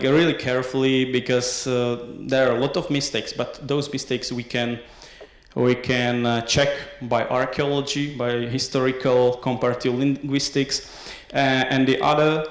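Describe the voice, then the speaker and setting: A young man with a Slovak accent giving an academic conference talk, hesitant, with many filled pauses and restarts. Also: medium pitch. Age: young adult. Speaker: conference presenter. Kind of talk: academic lecture. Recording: echoey room.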